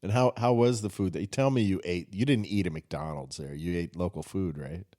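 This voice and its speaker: A man's voice: low voice, brisk, warm tone. A man hosting a casual podcast chat, asking his guest curious questions. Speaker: podcast host.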